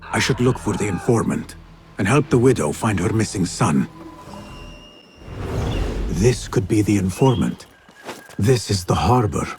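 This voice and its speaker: An actor voicing a stealthy assassin who speaks in a measured, quiet, resolute way. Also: male voice, fairly low pitch, lively intonation, music in the background. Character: stealthy hooded assassin, calm and determined.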